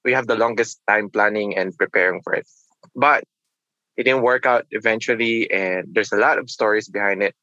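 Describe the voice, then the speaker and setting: A man, talking in a reflective, halting way as a nurse being interviewed. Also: on the low side, bright, forceful tone, no room sound, highs cut off. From Philippines. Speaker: nurse guest. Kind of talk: casual interview.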